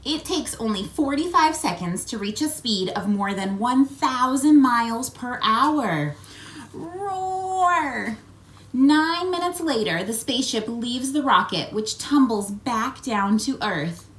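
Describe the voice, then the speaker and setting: Animated, high-voiced woman reading to children. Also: slow. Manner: expressive storytelling, coaxing questions, acted-out sound words. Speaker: read-aloud host. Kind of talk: children's picture book read-aloud with asides to young listeners.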